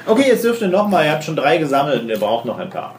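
A man's voice: a little high, sing-song, fast talker. A man narrating and refereeing a tabletop role-playing game as game master. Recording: roomy echo, clear background noise.

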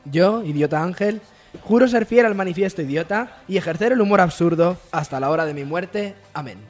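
A man's voice: high voice, fairly quick, faint music behind. A man on a comedy radio panel, speaking in casual comic banter.

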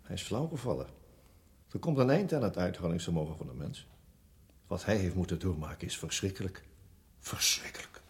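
Low-voiced, grave prison guard. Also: male voice, expressive, brisk, pauses often. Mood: grave, sympathetic. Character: sympathetic male death-row prison guard. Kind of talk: radio drama acting. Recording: faintly noisy old radio recording.

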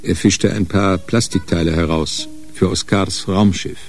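An actor playing a sober narrator, reading scripted narration in a radio crime drama. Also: male voice, sits low, steady tone, measured pace, soft background music.

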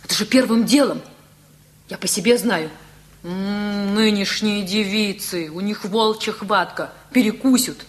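A woman's voice: mid-range voice, a little slow, natural voice. A woman playing a fussy, meddling older female relative in a Russian radio play, chatty and full of exclamations. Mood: meddling, alarmed.

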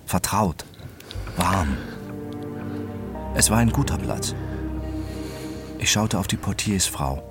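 A man playing a detached, obsessive first-person narrator in a radio drama, speaking in a measured, introspective way. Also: low voice, animated, exaggerated voice. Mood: detached, absorbed. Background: music behind the voice.

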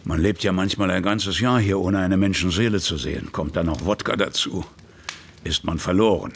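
An actor playing a gruff, scornful staff captain of about fifty in a radio play, talking bluntly. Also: male voice, deep voice, normal speed, slight background noise. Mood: reminiscing. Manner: blunt, colloquial storytelling. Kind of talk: German radio play acting.

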